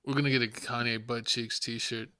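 A young man co-hosting a podcast, teasing his partner with quick comebacks in casual banter. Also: mid-range voice, level tone, pushed and bright, clear, resonant tone, no echo. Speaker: podcast co-host. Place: small home studio, indoors.

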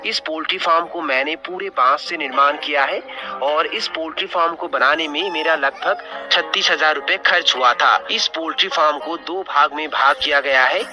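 A man's voice: on the high side, moderate pace, music in the background. A man giving scripted, step-by-step instructional narration as a poultry farmer, explaining methodically and repeating his points.

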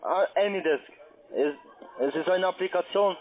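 A man with a high voice works through a phone call, questioning insistently. He stumbles and repeats himself with non-native phrasing. The line is thin and lo-fi, like a muffled telephone. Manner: halting, repetitive, non-native grammar. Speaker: phone scam caller.

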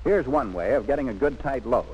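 Male narrator for vintage army training film. Lively, swooping intonation, instructive and emphatic. Muffled old soundtrack. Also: medium pitch, a little fast, breathy edge.

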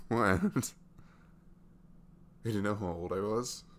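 A man playing a sweet, tired single dad in his twenties in an intimate ASMR roleplay, talking haltingly. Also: on the low side. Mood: reassuring. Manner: hesitant, halting, softly chuckling.

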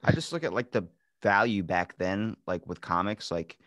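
A man's voice: low-pitched, expressive, moderate pace, a bit bright, dry recording. A man in his twenties hosting a casual podcast conversation, informal and slangy, acting out imagined exchanges. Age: 20s. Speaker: podcast host. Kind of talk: casual chat interview. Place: dark indoor room with curtains, video call.

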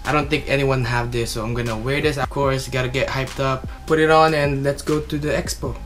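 A man's voice: medium pitch, steady tone, brisk. A young man chatting casually to camera as a vlogger, filming himself at arm's length. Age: young adult. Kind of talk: casual vlog chat to camera. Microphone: action camera at arm's length. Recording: loud music behind the voice. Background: loud music.

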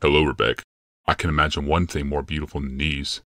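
Dude doing a grim emperor, super deep, slow heavy lines, dry. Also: male voice, flat delivery, a bit bright, no echo. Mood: solemn. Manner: slow, solemn pronouncements. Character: grave, towering male emperor obsessed with struggle.

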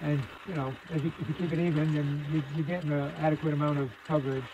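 A man casually narrating a hands-on repair demonstration at his workbench, with an unhurried, folksy manner. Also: a little high, flat delivery. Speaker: hobbyist host demonstrating radio repair. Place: indoor electronics workbench. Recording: clear background machine whir and noise.

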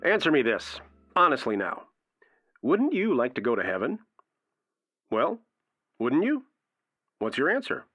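A man's voice: medium pitch, wildly swooping pitch, measured pace, long pauses, dry recording. An actor playing a fervent radio preacher in a drama, emphatic and declamatory as he delivers a sermon. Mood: fervent, exhorting. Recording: dull, dry radio-like sound.

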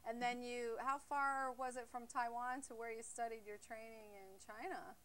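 A woman's voice: fairly high pitch, fairly even intonation, normal speed, fairly bright tone. A woman hosting an informal interview, often reacting with exclamations and murmurs. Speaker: interview host.

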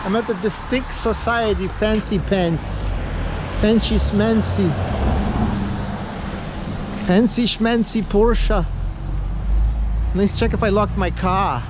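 A man's voice: high-pitched, takes it slowly, pauses often. A middle-aged man, rambling and joking self-mockingly while vlogging as he walks down a rainy city street. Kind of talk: rambling walking vlog. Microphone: action camera held at arm's length. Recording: street and traffic noise.

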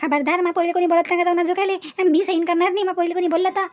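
Woman voicing a high, fast, scornful Marathi cartoon mother. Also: steady tone, no echo, studio quality. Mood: taunting, aggrieved. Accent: Maharashtrian, Vidarbha dialect. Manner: taunting, nagging, repetitive. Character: angry, scornful Marathi mother and meddling village aunt. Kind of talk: comic family drama cartoon dubbing.

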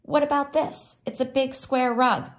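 A woman's voice: sits high, steady tone, a little slow, pitched above the performer's own voice. A woman voicing a father in a storybook, cajoling his children emphatically with strained patience. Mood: coaxing. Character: father, coaxing but exasperated with his children.

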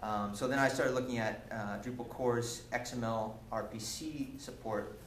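A man's voice: medium pitch, steady tone, moderate pace. A young man giving a casual technical talk at a meetup, explaining with many ums and restarts, recorded by a camera across a lecture room. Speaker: presenter. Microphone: far camera. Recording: echoey room, faint hiss, from camera.

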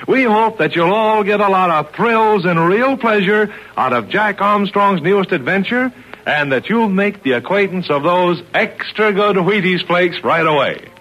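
A man's voice: sits high, a bit bright. A man announcing and narrating in 1940s radio style, with chummy, emphatic scripted patter. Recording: dull old radio recording.

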